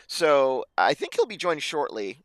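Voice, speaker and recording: Male esports caster, mildly hoarse, otherwise smooth voice, dry sound, stop-start delivery with uhs. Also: on the high side, huge pitch swings, fairly bright tone, no room sound. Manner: halting, many fillers. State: slightly raspy voice. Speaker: esports commentator. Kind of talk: esports match preview commentary.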